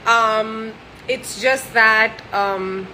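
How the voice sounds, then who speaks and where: Bright-voiced, chatty woman in her thirties. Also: a little high, fairly even intonation, very slow, a little hiss or hum, poor audio. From India. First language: Gujarati or French, not Hindi. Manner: chatty, with fillers and restarts; warm and cheerful. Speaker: actress answering fan questions. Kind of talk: casual chat.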